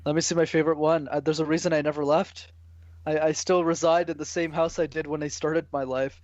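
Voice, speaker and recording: A man from Canada answering interview questions casually and relaxed, using mild slang. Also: a little high, a little fast, no room sound, dull audio. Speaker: guest, public speaking coach.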